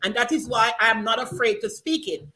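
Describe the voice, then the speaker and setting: Jamaican woman, animated storyteller; bright, higher voice, stammers as she goes. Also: moderate pace, soft background music. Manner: animated storyteller, mimics accents with many stammered restarts. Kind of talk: casual group chat.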